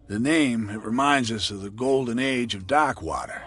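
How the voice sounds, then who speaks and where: A man's voice: medium pitch, moderate pace, fairly bright tone, natural voice. A man playing a wary island barkeeper. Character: gruff middle-aged male island barkeeper. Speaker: bartender.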